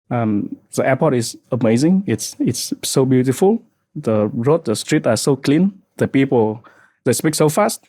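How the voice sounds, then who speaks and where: A man in his thirties with a Vietnamese accent talks in an earnest, halting way, with plenty of fillers. His tone is warm and mellow. The mic is close and dry. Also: medium pitch, dry recording. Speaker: podcast guest, founder. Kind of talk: casual podcast chat.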